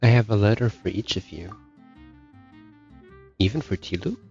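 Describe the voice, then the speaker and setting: A man's voice: lowish voice, normal speed, a little mellow. A man casually reacting aloud as he plays a game, a little hesitant. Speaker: streamer. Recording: dull mic over game music.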